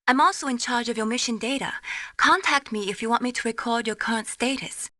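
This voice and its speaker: A woman's voice: a little high, brisk, sharp tone, dry recording. An actress playing a friendly, admiring young female tech specialist in a video game, speaking politely and earnestly as she explains. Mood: instructive.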